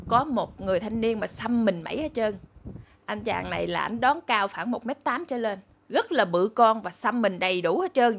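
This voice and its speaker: A middle-aged woman with a southern Vietnamese accent, telling stories casually and chattily to her followers. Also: medium pitch. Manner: chatty, conversational, addressing viewers as family. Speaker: channel host and storyteller. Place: small room indoors.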